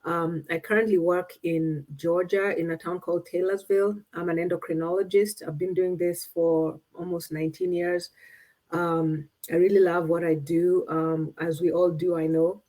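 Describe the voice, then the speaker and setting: Mellow-voiced woman from Kenya on a video call. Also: on the low side, flat delivery, normal speed. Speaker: panelist, endocrinologist. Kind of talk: video call panel introduction.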